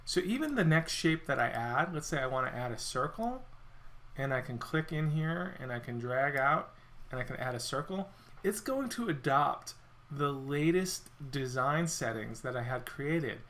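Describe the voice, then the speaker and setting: A man walking through a software feature in a screen-recorded demo, explaining step by step, his voice a little worn as he clears his throat. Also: medium pitch, moderate pace. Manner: relaxed explanatory walkthrough with self-corrections. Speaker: software product presenter.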